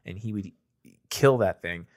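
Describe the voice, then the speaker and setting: A man's voice: fairly low pitch, unhurried. A young American man chatting informally as a podcast host, telling anecdotes in slangy, everyday speech. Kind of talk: casual chat.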